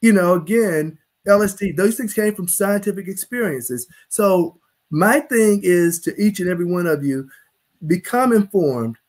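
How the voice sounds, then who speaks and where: A man's voice: high-pitched, lively intonation, moderate pace, darkish tone. A middle-aged man, a physician, explaining emphatically on a live video-call panel from a home office. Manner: emphatic, explanatory, gesturing with hands. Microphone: video call webcam.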